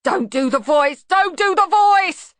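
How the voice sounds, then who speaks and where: A woman's voice: on the high side, expressive, measured pace, a bit bright, no room sound, voice pushed up. A woman voicing a whining, put-upon bogeyman in a storybook, pleading and moaning and easily frightened. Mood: panicked pleading.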